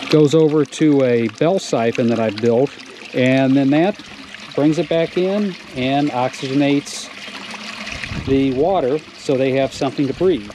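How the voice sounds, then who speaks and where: A man on his farm casually walking through his fish-and-plant tank setup, explaining step by step with frequent pauses and "uh"s, recorded outdoors on a wearable camera. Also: medium pitch. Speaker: farmer showing his aquaponics setup. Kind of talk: casual walk-through narration. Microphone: wearable action camera. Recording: noisy outdoor camera audio. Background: outdoor ambience with running water.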